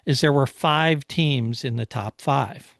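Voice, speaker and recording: A man's voice: medium pitch, slow pace, vocal fry, a bit bright, no room sound. Conversational man co-hosting, dry mid-range voice. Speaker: podcast co-host. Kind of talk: casual motorsport recap discussion.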